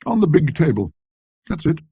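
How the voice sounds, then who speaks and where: A teasing, self-important gentleman collector played by a male narrator speaks in clipped, bossy phrases. His voice is pitched up and lively, with a husky edge, as in an audiobook ghost tale. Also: moderate pace, dry recording, exaggerated voice. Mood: fussy, anxious.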